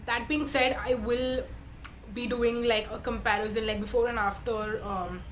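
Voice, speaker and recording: A young woman talks casually to camera, her slightly high voice raspy and congested from a cold. She rambles through a skincare review, correcting herself and filling pauses with "um" and "like." A faint hiss sits under the recording. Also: steady tone. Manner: chatty, self-correcting, filler-heavy. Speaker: beauty vlogger.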